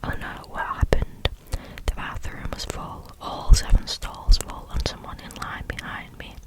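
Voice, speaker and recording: A person speaking entirely in a breathy, unvoiced whisper, reading stories aloud in a casual, conversational ASMR style. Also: rough recording. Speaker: host reading listeners' or online stories aloud.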